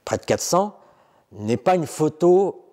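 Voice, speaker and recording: A man's voice: fairly high pitch, very animated, slow pace, dark tone. A middle-aged man guiding visitors through an exhibition, explaining in unscripted talk full of fillers and restarts. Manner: hesitant, many fillers and restarts. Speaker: exhibition guide. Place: exhibition room indoors. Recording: reverberant room.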